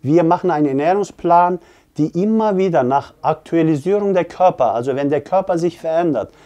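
Man in his forties, fairly high voice, fitness coach, earnest explanatory talk, halting phrasing. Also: dark tone. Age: 40s. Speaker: fitness coach and gym owner. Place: small indoor office.

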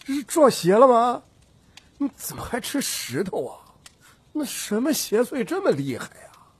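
A man's voice: very high-pitched, big pitch swings, moderate pace, husky, voice pushed up, heavily acted. A man voicing an obsequious, flustered old troupe leader who stammers and wheedles in an audiobook. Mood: flustered, fawning. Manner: stammering, wheedling, full of sighing interjections.

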